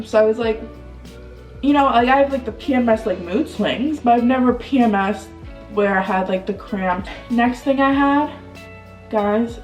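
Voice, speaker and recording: A woman's voice: on the high side, darkish tone, echoey room, distant mic, low-quality recording. A young woman vlogger with a stuffed-up nose, chatting candidly and casually with lots of filler words. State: congested. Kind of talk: casual vlog chat.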